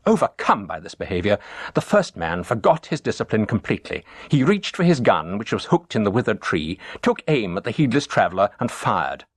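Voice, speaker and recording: A man reading a teaching story as a scripted narration, measured and expressive. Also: lowish voice, husky, dry recording, clean studio sound.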